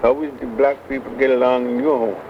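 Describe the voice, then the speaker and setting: A man's voice: mid-range voice, flat delivery, quick pace. Man on a noisy street. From Jamaica. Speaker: passerby. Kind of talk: casual street conversation. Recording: muffled street recording with loud traffic noise.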